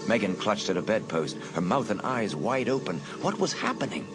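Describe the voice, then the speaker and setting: A man's voice: lowish voice, big pitch swings, normal speed, music in the background. A man narrating a suspense story as a dramatic, scripted audiobook.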